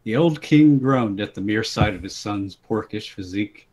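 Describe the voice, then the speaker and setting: A man's voice: on the low side, expressive, normal speed, a little mellow. A man doing a dramatic reading of a comic story in a casual voice chat.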